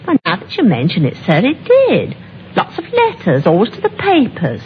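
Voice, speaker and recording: An actress playing a talkative, deferential British lodging-house clerk in a radio drama, eager and gossipy, on an old recording. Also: female voice, a little high, huge pitch swings, normal speed, highs cut off, a little hiss or hum. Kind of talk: 1950s radio drama acting.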